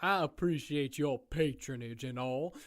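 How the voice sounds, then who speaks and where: A man's voice: medium pitch, unhurried, natural voice. A man playing a breezy, vain circus owner in an audio drama, with smug, folksy politeness. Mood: impatient, sarcastic. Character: breezy, vain showman who owns a prison circus.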